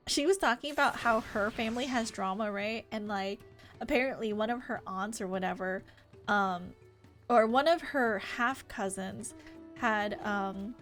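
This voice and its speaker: An American woman streaming a game, chatting casually with an animated, gossipy manner. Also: fairly high pitch. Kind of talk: live stream chat while reading game dialogue aloud. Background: music.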